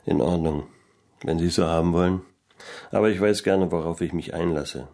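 Low-voiced, world-weary private eye. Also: male voice, normal speed, a little mellow, no room sound. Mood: dry, guarded. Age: middle-aged. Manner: laconic, dry, sardonic. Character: weary, disillusioned middle-aged male private detective, wryly narrating. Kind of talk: noir radio play narration and dialogue.

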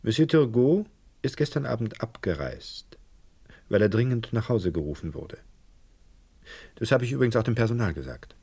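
Deferential hotel gentleman, gravelly, husky, formal, discreet, slightly hesitant, radio drama. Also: medium pitch, sing-song, normal speed, pauses often. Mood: discreet, informing. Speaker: actor in a radio crime drama. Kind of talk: German radio play acting.

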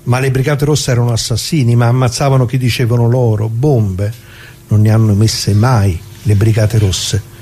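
A man's voice: mid-range voice, level tone, normal speed, warm tone, slight background noise, natural voice. An actor playing a plain-spoken left-wing worker who recalls the past in a colloquial theatrical monologue, putting questions to his listeners. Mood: bitter, questioning. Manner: conversational, rhetorical questions to listeners. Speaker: narrator of radio drama.

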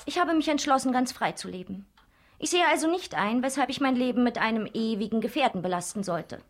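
An actress playing a proud, independent banker's daughter in a radio drama, self-assured and pointed. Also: female voice, fairly high pitch, animated, brisk. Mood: determined.

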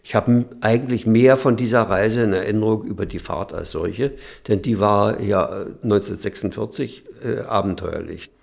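An older man recalling childhood memories in an unscripted interview, halting as he searches for words. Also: lowish voice, gravelly, darkish tone.

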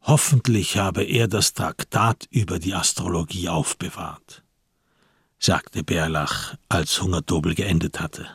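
A man narrating a radio crime drama in a measured, suspenseful literary style. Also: low voice, slightly rough, no room sound, studio quality.

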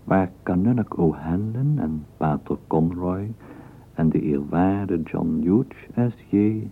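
An actor playing a measured, wry storyteller, narrating a literary radio drama in a husky voice. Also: male voice, sits low, expressive, exaggerated voice. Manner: deliberate, measured phrasing. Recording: tinny, narrow-band old radio recording.

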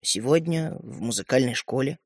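Male reader as confused, mildly stubborn storybook boy; halting, unsure delivery, dry sound. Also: mid-range voice, animated, darkish tone, no room sound, voice pushed down, close to an everyday voice. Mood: sheepish. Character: bewildered, hesitant schoolboy, mild but stubborn.

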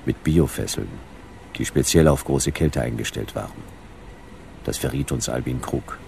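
Radio play narrator: bass-deep, dry deadpan, short fragments, light background noise. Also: male voice, normal speed, vocal fry, full character voice. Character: dry deadpan narrator of a sci-fi detective story.

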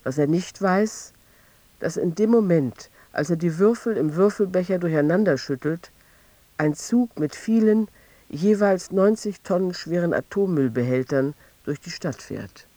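A man narrating a radio drama as a detached narrator, in a measured, matter-of-fact way. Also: sits high, lively intonation, warm tone, no room sound. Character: detached male narrator telling of a troubled teacher.

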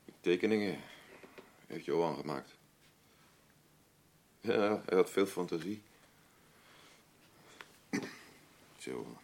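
An actor playing a gruff, defensive working-class father in grief, speaking blunt, halting, colloquial lines in a naturalistic radio drama. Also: low-pitched, exaggerated voice. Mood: mournful, stubborn. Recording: clearly noisy radio play recording with ambience.

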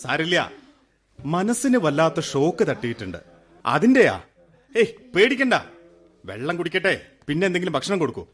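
An actor playing a doctor who reassures the family in a matter-of-fact way. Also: male voice, on the high side, big pitch swings, a little fast, pauses often, a bit bright. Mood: reassuring.

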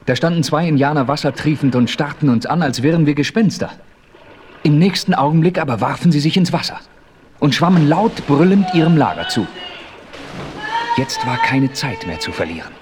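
A man's voice: a little high, lively intonation, normal speed, lightly acted. An actor playing a resolute, commanding Western adventurer who narrates his story in a 1970s radio play. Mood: tense. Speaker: narrator and lead character. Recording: faintly noisy old radio play recording.

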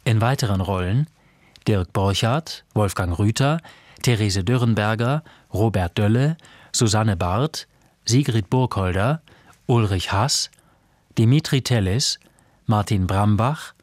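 A man's voice: lowish voice, measured pace, no room sound. A man reading cast credits as a formal radio announcer, close to a studio microphone.

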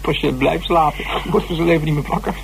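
A man's voice: a little high, steady tone, moderate pace, vocal fry. An actor playing a cheeky, inquisitive young man in a radio drama, teasing and persistent in colloquial speech. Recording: faint noise in old radio recording.

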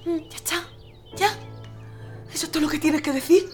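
A woman's voice: sits high, steady tone, normal speed, darkish tone, soft background music, close to an everyday voice. An actress playing a protective, defiant woman fleeing war in an audio drama, forthright and sardonic, with an accent from Spain. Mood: bitter, reproachful. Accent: Spanish, from Spain.

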